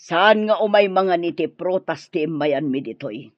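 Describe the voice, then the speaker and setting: A woman's voice: lowish voice, lively intonation, normal speed, no room sound, highs cut off. An actress playing a proud, scheming middle-aged mother in a radio drama, haughty and theatrical. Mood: disdainful.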